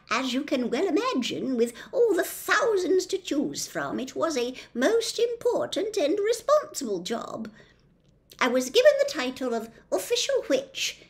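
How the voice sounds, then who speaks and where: A woman voicing a pleasant little old lady witch in a storybook, with measured, genteel phrasing and a small voice. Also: sits high, exaggerated voice. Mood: proud, reminiscing.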